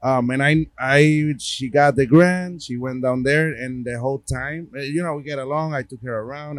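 Puerto Rican man in relaxed talk. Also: mid-range voice, no room sound, low-quality recording. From Puerto Rico. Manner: rambling, many restarts and fillers. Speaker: gallery owner and artist. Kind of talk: casual interview talk.

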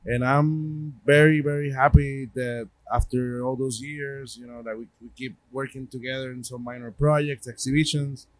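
A man's voice: medium pitch, flat delivery, moderate pace, hardly any pauses, dry recording, poor audio. A middle-aged man with a Puerto Rican accent, a gallery owner chatting in an interview, rambling with many restarts and fillers. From Puerto Rico. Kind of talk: casual interview talk.

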